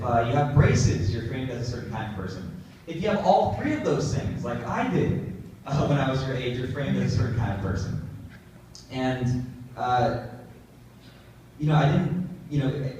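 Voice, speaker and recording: A man's voice: medium pitch, mellow, slight background noise. Young guy in his 20s chatting to a school crowd, lots of ums, far from the mic, big echo. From California. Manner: casual, conversational, many fillers and restarts. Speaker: guest speaker. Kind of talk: school talk to middle schoolers. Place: large echoey school room. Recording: echoey room from far off.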